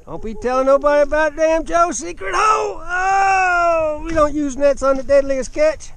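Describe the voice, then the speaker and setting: A man's voice: very high voice, moderate pace, fairly bright tone. A man teasing a buddy and boasting while fishing, recorded outdoors on a boat by an action camera. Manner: boastful, teasing, whooping when fish pull. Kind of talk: casual banter while fishing. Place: outdoors on a pontoon boat on a lake.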